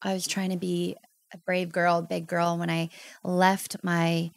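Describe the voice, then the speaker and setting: A woman in her thirties talks candidly as a podcast guest, earnest and heartfelt. Her voice sits a little low with a gravelly edge. The sound is close and dry, with no room around it. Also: little pitch movement, measured pace, no echo. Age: 30s. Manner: earnest, heartfelt storytelling with emotional emphasis. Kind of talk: candid personal interview.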